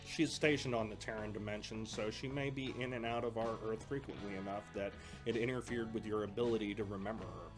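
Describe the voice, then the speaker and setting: Over clear background music, a man plays an earnest older brother and military academy trainer in an audio drama. His voice sits on the low side, and he explains in a measured, instructive way. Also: fairly even intonation, lightly acted. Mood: hesitant, reassuring.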